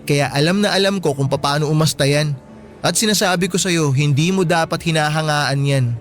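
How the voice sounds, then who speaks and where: High-voiced Filipino schoolboy boyfriend played by a man. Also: brisk, faint music behind, lightly acted. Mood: frank, disapproving. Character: teasing, blunt, sweet teenage schoolboy boyfriend. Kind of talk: Filipino radio drama dialogue.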